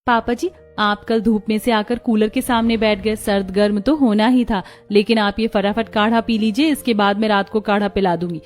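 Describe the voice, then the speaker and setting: A humble young daughter-in-law from a cartoon family story, acted by a woman. She speaks earnestly and respectfully at a middling pitch, eager to please, over music. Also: steady tone, normal speed, few pauses, lightly acted. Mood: earnest, dutiful. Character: humble devoted young village daughter-in-law, sweet and dutiful. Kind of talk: Hindi moral cartoon story dialogue.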